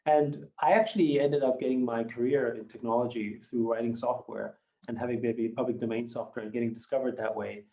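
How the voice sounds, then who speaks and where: A man in his fifties, a German native speaker who grew up in Austria, answering interview questions in a measured, reflective way with many hedging fillers. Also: medium pitch, fairly even intonation, vocal fry, dark tone, poor audio. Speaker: company founder and guest. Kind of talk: podcast interview.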